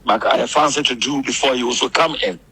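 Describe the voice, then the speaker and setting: A man's voice: mid-range voice, a little fast, pushed and bright, dry recording. A man with a Ghanaian accent explaining things as a phone interview guest, repeating phrases and often adding "you know". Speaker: interview guest, entertainment media insider. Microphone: phone call. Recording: husky, rough radio phone-in audio.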